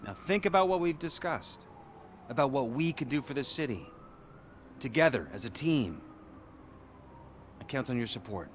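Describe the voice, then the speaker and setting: An actor playing a persuasive city power broker in a video game, with a deliberate, speech-making manner. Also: male voice, mid-range voice, lively intonation, very noisy, natural voice. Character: smooth-talking, persuasive city power broker, adult male.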